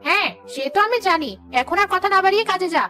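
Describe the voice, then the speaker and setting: A woman's voice: extremely high pitch, lively intonation, fast talker, sharp tone, soft background music, exaggerated voice. Woman playing a sarcastic scolding stepmother. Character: harsh scolding stepmother, middle-aged woman. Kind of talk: cartoon dubbing.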